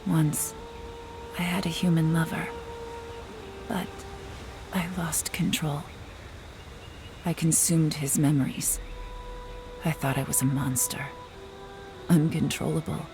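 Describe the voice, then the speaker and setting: A woman voicing a gentle, empathetic enchantress haunted by regret in a video game, speaking with soft, earnest care. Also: sits low, steady tone, slow, creaky, pauses often, exaggerated voice. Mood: confessional. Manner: soft, earnest, soothing counsel. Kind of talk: dramatic video game acting. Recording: game music behind the voice. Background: game music.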